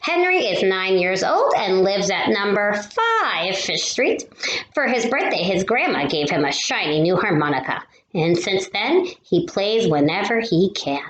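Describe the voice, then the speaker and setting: Female read-aloud storyteller for children: lively intonation, unhurried delivery, drawn-out emphasis, roomy recording. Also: mid-range voice, dull audio. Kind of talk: expressive children's storybook reading. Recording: roomy echo with faint noise.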